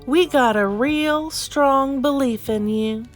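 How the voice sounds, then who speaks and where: A no-nonsense lady rancher from a picture book, as a woman reader plays her. She is folksy and commanding, with a raised, brisk voice. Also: sits high, soft background music, voice pushed up. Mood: grateful. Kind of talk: children's storybook character reading.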